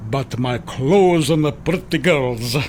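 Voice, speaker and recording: Fretful, slow-talking fashion designer male actor. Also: medium pitch, a little mellow, slight background noise, natural voice. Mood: complaining. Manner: fussy, complaining. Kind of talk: radio drama acting.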